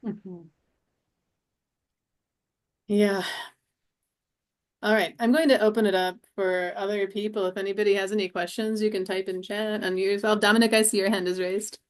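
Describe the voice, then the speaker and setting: A woman's voice: medium pitch, fairly even intonation, normal speed, pauses often. A woman teaching an online class who interviews a guest over a video call, asking brief, curious questions. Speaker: class instructor.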